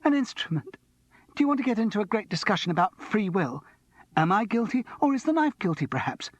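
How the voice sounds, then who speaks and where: A man reading aloud and voicing an agitated, manic young male student who swings between wild laughter and quiet. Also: very animated, a little fast, no room sound. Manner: jittery, laughing, high-strung. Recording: slightly muffled dry recording.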